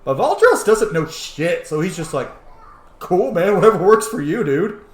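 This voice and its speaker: A man's voice: high-pitched, big pitch swings, normal speed. A man giving casual, jokey roleplay commentary as he streams a game, swearing freely. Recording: roomy, echoing sound.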